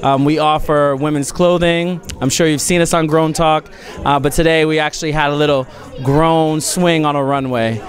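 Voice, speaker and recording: A man in his forties, a boutique owner, speaking in a relaxed, friendly way into a handheld mic at an indoor event. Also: a little high, normal speed. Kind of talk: event interview testimonial.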